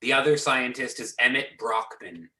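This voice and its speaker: Man reading as sharp, bright-voiced agency boss. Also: medium pitch, expressive, moderate pace. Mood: matter-of-fact. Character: businesslike male agency boss briefing his agents. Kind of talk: amateur readers theater script reading. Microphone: video or conference call. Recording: echoey room over video conference.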